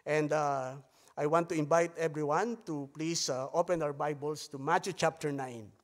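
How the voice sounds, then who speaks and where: A male preacher from the Philippines speaks English with a Filipino accent, his first language being Tagalog. His voice sits a little higher than most men's, and he pauses often with halting fillers between phrases. His words ring out across a church hall with a long trailing reverb. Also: steady tone. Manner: halting delivery with frequent hesitations; softer, hushed tone while praying. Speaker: visiting missionary pastor. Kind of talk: sermon with Bible reading and prayer. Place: church sanctuary. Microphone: pulpit microphone.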